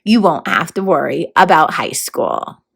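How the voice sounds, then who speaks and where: A woman's voice: deep voice, unhurried, creaky, fairly bright tone, slightly rough, voice pushed down, heavily acted. A woman voicing a formal, fussy, stern male horned toad mentor in a storybook read-aloud. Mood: grave. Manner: proper, grandly worded, matter-of-fact.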